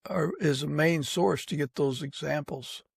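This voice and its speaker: A man's voice: on the high side, measured pace, vocal fry, breathy edge, no echo, studio quality. A man hosting a podcast, asking questions in a conversational interviewing style. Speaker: podcast host.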